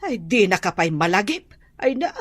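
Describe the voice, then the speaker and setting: A woman's voice: mid-range voice, big pitch swings, moderate pace, no echo. A woman playing a stern, scolding old Filipino grandmother in a radio drama, bossy and nagging.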